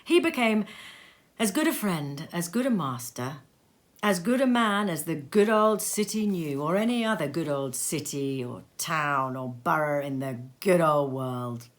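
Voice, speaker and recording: A woman narrating a classic tale as an expressive storybook reading at home, lively and theatrical, with many changes of voice. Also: low voice, a little slow. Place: cozy sitting room at home.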